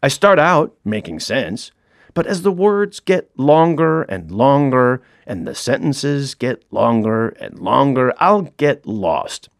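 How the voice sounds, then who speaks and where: A man's voice: medium pitch, sing-song, takes it slowly, vocal fry, no room sound, clean studio sound. A middle-aged man reading his own comic audiobook in a wry, self-mocking storytelling manner.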